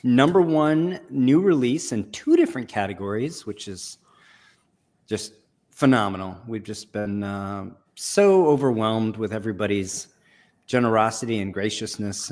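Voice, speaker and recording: A man hosting a live online show about comic conservation, talking in a relaxed, rambling, self-deprecating way. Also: fairly low pitch. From Texas. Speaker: host. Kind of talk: casual live show chat.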